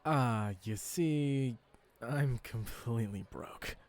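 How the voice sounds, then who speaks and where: A man's voice: medium pitch, animated, moderate pace, breathy edge. A man playing a bewildered, self-deprecating teenage boy hero in an anime-style fandub, talking informally to himself. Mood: sheepish. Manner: informal, muttering to himself, exclamatory.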